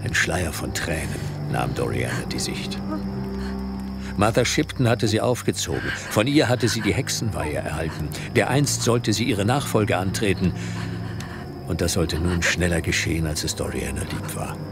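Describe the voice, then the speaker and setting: A man's voice: sits low, lively intonation, moderate pace. A man narrating a horror audio drama from a script in a dramatic storytelling style. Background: loud music.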